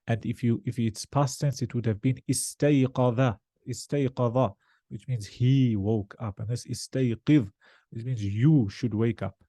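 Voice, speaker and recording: A man's voice: medium pitch, warm tone, no room sound, studio quality. A man teaching a language lesson one to one, explaining, correcting and translating phrase by phrase as he questions his student. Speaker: Arabic teacher.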